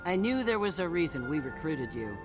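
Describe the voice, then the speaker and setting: An actor playing a confident male assassin of a secret order in a fantasy video game, deliberate and coolly eager. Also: on the high side, sing-song. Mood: confident, approving. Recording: prominent music behind the voice.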